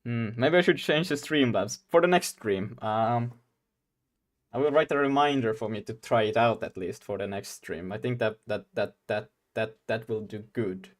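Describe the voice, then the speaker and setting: Young guy rambling to his stream chat, laughs a lot, mid-pitched voice. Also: normal speed. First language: Swedish. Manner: giggly, rambling, talks to chat. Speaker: game dev streamer. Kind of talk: casual live-stream chat.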